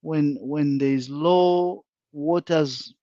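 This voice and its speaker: Zambian guy on a live panel, frank and emphatic, kinda high voice, dull dry call sound. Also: slow, mellow, no echo. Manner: frank, emphatic, builds points step by step. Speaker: panel guest. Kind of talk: live online panel discussion.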